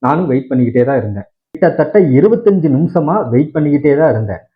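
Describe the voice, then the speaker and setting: A man's voice: a little high, big pitch swings, quick pace, narrow, tinny audio. A man with a Tamil Nadu accent complaining to viewers in an indignant, argumentative way with many rhetorical questions. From Tamil Nadu, India. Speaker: tech video creator.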